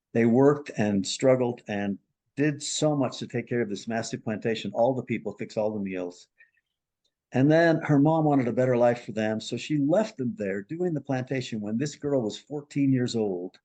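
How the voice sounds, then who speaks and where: A man in a middle register tells a counseling story in a podcast conversation. He works as a marriage and family therapist. He acts out the people he quotes with sudden leaps in pitch. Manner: animated storyteller, acts out quoted voices with big pitch jumps.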